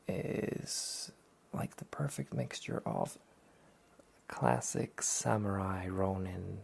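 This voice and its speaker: Soft-spoken, gravelly man talking slowly. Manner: hesitant, rambling, low-key. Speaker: host of a weekly anime talk show. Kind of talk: soft-spoken relaxation talk, casual review.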